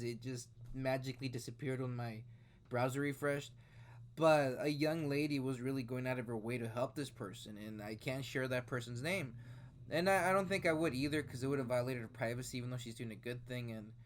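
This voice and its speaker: A man in his late twenties talking through a news story in casual, rambling commentary, full of fillers and restarts. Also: medium pitch. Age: 20s. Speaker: video host reacting to news.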